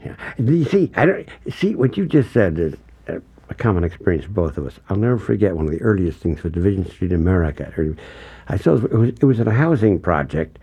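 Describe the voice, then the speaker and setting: A man's voice: a little fast, breathy edge, muffled recording. An older man interviewing a guest, telling stories animatedly and acting out the people he quotes, his voice jumping up for emphasis. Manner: animated storyteller, acts out quotes, pitch jumps up for emphasis. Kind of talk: conversational interview.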